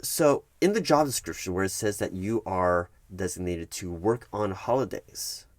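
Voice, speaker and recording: Man playing a low-voiced, bureaucratic HR manager. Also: animated, moderate pace, dry recording. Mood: incredulous, firm. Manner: hesitant, repeats himself, patiently insistent. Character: patronizing, bureaucratic male HR recruiting manager. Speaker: HR manager in roleplay. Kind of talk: one-sided ASMR roleplay, conversational.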